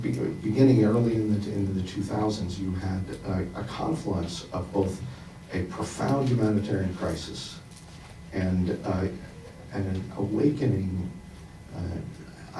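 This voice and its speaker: Man, panelist, low mellow voice, halting with fillers, distant mic, echoey hall. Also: slight background noise. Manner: reflective, halting, many fillers. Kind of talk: panel discussion answer. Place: conference hall.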